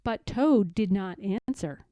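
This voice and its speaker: A woman reading a children's story aloud in a measured, expressive storytelling manner. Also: mid-range voice, no room sound, dull audio. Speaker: storybook reader. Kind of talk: scripted children's story narration, read aloud.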